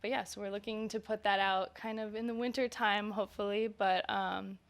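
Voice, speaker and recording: A woman's voice: mid-range voice, steady tone, brisk. A woman musician talking casually in an interview, informal and conversational, often saying "like" and "kinda". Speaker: musician and singer being interviewed.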